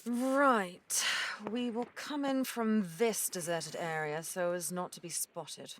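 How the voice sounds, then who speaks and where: A woman as a low-voiced, stern sea captain. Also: takes it slowly, a little hiss or hum. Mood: businesslike. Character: stern, weary female ship's captain. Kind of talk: audio drama acting.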